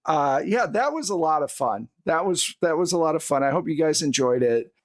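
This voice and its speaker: A man's voice: on the high side, lively intonation, fairly quick, no room sound, studio quality. A man chatting casually as the game master and host of a podcast.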